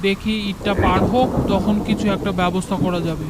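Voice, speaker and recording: A woman's voice: mid-range voice, fairly even intonation, fairly quick, heavy background noise, natural voice. A woman voicing a poor, worried village father in a cartoon, speaking with a Bangladeshi Bengali accent in a weary, careworn way.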